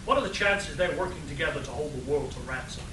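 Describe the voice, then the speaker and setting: A man's voice: fairly high pitch, animated, fast talker, lightly acted. An earnest, pompous eco superhero played by a man from a comic radio play. He declaims solemnly in a raised voice. The recording is distant, roomy and noisy. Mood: grave deduction. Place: convention hall stage. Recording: echoey room, distant mic, hissy.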